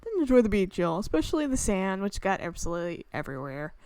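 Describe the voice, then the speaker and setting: A woman's voice: medium pitch, very animated, fast, darkish tone, clean tone, no room sound. A woman game streamer reading visual novel narration aloud, breaking off into chatty, exclamatory asides. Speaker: gaming channel host. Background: game music.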